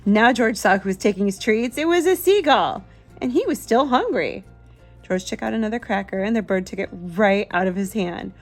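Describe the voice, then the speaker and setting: Female storyteller for young kids, medium pitch, expressive picture-book delivery, light music underneath. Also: normal speed. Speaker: children's book reader, channel host. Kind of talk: picture-book read-aloud with asides to a child.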